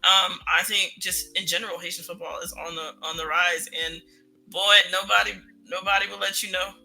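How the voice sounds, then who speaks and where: A woman co-hosting a podcast, chatting in an animated way with laughter and frequent "right?" tags. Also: on the low side, normal speed, vocal fry, faint music behind, low-quality recording. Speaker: podcast co-host. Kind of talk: casual podcast chat.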